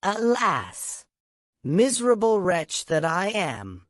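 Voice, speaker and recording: Narrator doing a blustering, brutish carter, swooping pitch. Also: big pitch swings, takes it slowly, no room sound, clean studio sound. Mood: wailing despair. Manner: blustering, wailing exclamations. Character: brutish, grumbling, self-pitying male carter.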